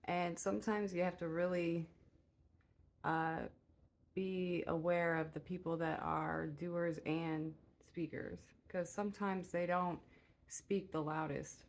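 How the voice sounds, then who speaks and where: A soft-spoken woman giving a casual, rambling tarot reading, with many pauses and fillers. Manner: relaxed and low-key. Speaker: tarot reader.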